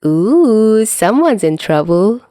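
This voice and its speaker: A woman's voice: medium pitch, big pitch swings, takes it slowly, warm tone, no echo, clean studio sound, voice pushed up. A woman voicing a glum, mocking young man who needles his rival in a sardonic, teasing way in an audio story. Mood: teasing singsong.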